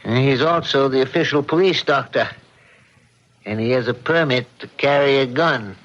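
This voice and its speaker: An actor playing a concerned, cautious husband in an old-time radio mystery, deliberate and insistent. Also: male voice, mid-range voice, fairly bright tone, lightly acted. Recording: dull, band-limited radio drama recording.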